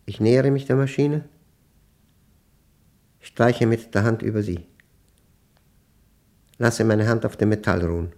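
Dark-voiced brooding scientist. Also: male voice, lowish voice, fairly even intonation. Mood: obsessive, controlled. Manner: terse, deliberate, measured phrasing in short fragments. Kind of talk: dramatic monologue in a German radio play.

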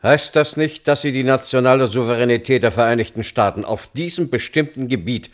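A man's voice: medium pitch, brisk, close to an everyday voice. A man acting as a sober panel member in a German radio play, putting formal, challenging questions at an inquiry.

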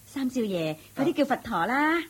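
A woman's voice: sits high, sing-song, moderate pace, breathy edge, full character voice. An actress playing a chatty, eager-to-please female servant in a radio drama, speaking in a flustered, apologetic way. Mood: fussy, apologetic. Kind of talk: 1980s-style radio drama acting.

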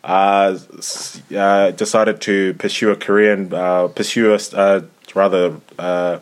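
A young man in his twenties from Zimbabwe co-hosts a relaxed podcast. His voice sits fairly low, and he hesitates often, filling gaps with "uh" and "um" and restarting his sentences. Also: unhurried, clear, resonant tone. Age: 20s. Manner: hesitant, many fillers and restarts. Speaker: podcast co-host. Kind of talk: casual podcast chat.

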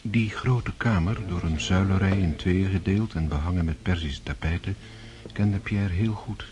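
A man reading scripted literary narration for a radio drama, composed and measured. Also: sits low, a little mellow. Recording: noisy old radio drama recording.

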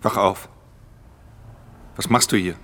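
A man playing a world-weary, guarded pickpocket who narrates a radio crime drama in an intimate, gravelly voice. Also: low voice, fairly even intonation, slow, a bit bright. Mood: gruff, guarded.

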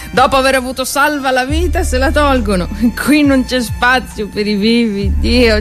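A woman's voice: a little high, close to an everyday voice. An actress playing a devout, bitterly anguished woman at a war front in a dramatic, pleading stage monologue. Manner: dramatic, pleading, addressing God. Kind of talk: theatrical monologue with music.

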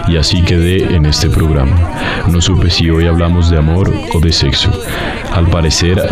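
A man hosting a radio show, delivering a wry, self-mocking scripted sign-off. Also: low-pitched. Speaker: radio host. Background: loud music.

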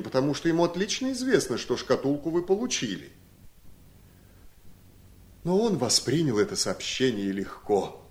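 An actor playing a simple, talkative, sly household servant telling things in an animated way in a radio play. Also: male voice, mid-range voice, lightly acted. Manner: chatty, animated storytelling. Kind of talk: radio play acting in Russian.

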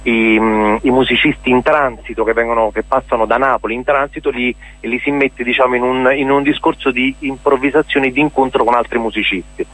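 A man's voice: mid-range voice, fairly even intonation, fairly quick, few pauses, fairly bright tone, low-quality recording. A man explaining hesitantly in a radio interview, repeating words as he searches for the next. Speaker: interviewee, member of a cultural centre.

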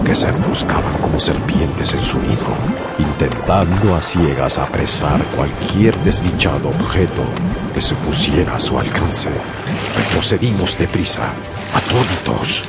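A man narrating a seafaring story as a sailor in a radio drama, measured and storytelling. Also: a bass voice. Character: man, sailor recounting a whale hunt.

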